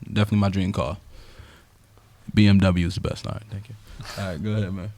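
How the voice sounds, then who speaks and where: Fast-talking young New podcast host. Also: male voice, low-pitched, little pitch movement, darkish tone, no echo. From Brooklyn, New York. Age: 20s. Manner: loose, slangy and upbeat. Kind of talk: casual group chat.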